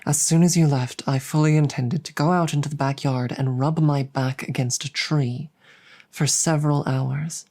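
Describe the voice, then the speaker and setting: A woman voicing a proud but embarrassed female dragon, tender toward a human partner, in an intimate roleplay audio drama, speaking formally and haltingly with a breathy voice. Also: low-pitched, fairly even intonation, darkish tone. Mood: ashamed, disgusted. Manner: formal, flustered, halting with repeated words.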